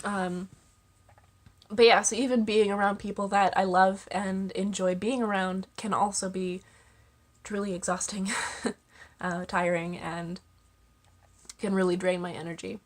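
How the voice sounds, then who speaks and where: A woman's voice: mid-range voice, level tone, measured pace, pauses often. Young woman with a stuffy cold, rambly casual vlog talk, lots of ums. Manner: chatty, many ums and little laughs. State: congested, stuffy-nosed. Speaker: vlogger. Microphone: camera mic.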